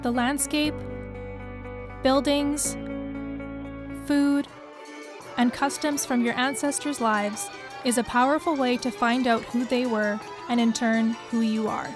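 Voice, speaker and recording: A young woman from Canada narrates from a script in a smooth, even delivery. Her voice is slightly high, and music plays clearly behind her. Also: slow, long pauses. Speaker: narrator, dancer.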